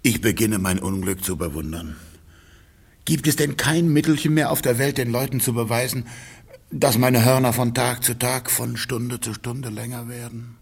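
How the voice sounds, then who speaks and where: Gravelly, bitter peasant husband. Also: male voice, medium pitch, moderate pace. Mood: bitter despair. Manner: lamenting, sardonic. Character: bitter, self-pitying cuckolded rich peasant husband. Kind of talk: classic comedy radio play acting.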